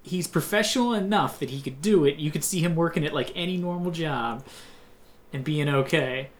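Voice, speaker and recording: A podcast co-host in casual movie talk, with a mid-pitched voice. This co-host tells stories freely and acts out earlier thoughts while explaining. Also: normal speed. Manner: chatty storyteller, acts out own past thoughts. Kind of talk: casual movie review chat.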